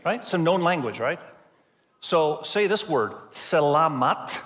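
A man's voice: on the high side, animated, slow, fairly bright tone. A man who grew up in Indonesia, preaching an earnest, emphatic sermon to a church gathering. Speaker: pastor. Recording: long hall reverb.

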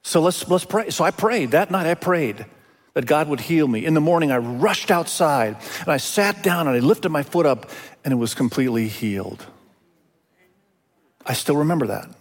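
A man from Indonesia, a native Indonesian speaker, preaching a sermon as a pastor in an emphatic way with deliberate pauses and repetition. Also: fairly high pitch, animated. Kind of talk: expository sermon. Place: large hall, speaking to a congregation. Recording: reverberant large hall sound.

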